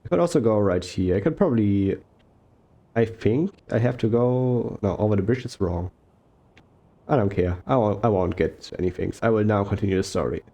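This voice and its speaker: Young male gaming commentator, mellow tone, slow soft-spoken rambling delivery. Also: on the low side, expressive. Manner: rambling with fillers and self-corrections. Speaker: gaming YouTuber. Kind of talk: relaxed gameplay commentary.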